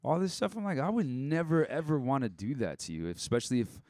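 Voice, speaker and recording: A man's voice: medium pitch, sing-song, quick pace, darkish tone, no room sound. A young man talking casually and candidly as a podcast guest, blunt and given to swearing, acting out bits of past conversations as he goes. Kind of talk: casual personal chat.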